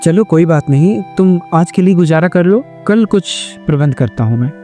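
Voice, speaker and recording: Mellow, earnest poor village husband man acting. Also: a little high, lively intonation, moderate pace, faint music behind, natural voice. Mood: worried, resigned. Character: worried poor village husband and father, pleading, resourceful. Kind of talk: animated moral story dialogue.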